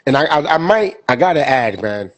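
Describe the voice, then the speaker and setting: A man's voice: medium pitch, sing-song, normal speed, dry recording. Stuffy-voiced man in casual sports talk. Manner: rambling, repeats himself, many fillers. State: stuffy from a cold. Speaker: podcast co-host.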